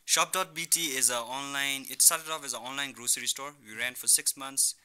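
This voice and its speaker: A man's voice: medium pitch, fairly even intonation, fairly quick, pushed and bright. A young man who grew up in Thailand, answering as an entrepreneur guest in a radio interview, explaining with examples and frequent "you know". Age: young adult. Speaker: entrepreneur guest, online business founder. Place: radio studio.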